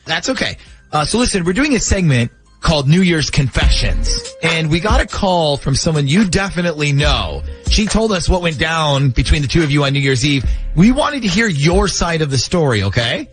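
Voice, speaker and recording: A man's voice: on the high side, sing-song, normal speed, soft background music. A man hosting a casual morning radio show, talking through a prank call.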